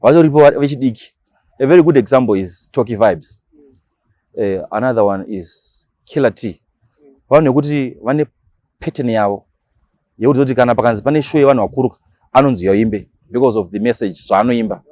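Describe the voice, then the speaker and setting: A Shona-speaking man with a Zimbabwean accent, talking casually as an interview guest and explaining things in animated, slangy language with acted-out examples. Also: medium pitch, fairly quick, no room sound, clean studio sound. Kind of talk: casual interview talk mixing Shona and English.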